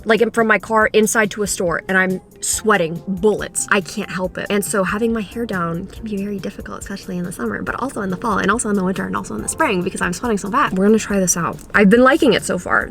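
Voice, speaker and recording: A woman in her twenties, a native Polish speaker, chatting casually through a Q&A vlog and swearing offhandedly. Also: medium pitch. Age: 20s. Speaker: YouTuber.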